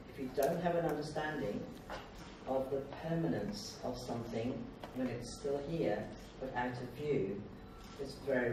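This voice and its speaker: Older Australian man, very echoey hall. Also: mid-range voice. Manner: conversational, halting short phrases, self-deprecating. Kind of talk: informal public talk. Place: indoor lecture hall. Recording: very echoey hall, distant mic, noisy.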